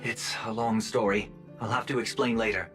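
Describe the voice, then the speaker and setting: A man's voice: fairly low pitch, steady tone, normal speed, a bit bright, soft background music, natural voice. An actor playing a polite, collected male ally in a video game, speaking formally.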